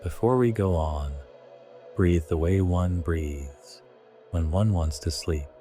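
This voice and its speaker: A man narrating a scripted guided sleep meditation in a hushed, deliberate way, in short phrases. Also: sits low, faint music behind.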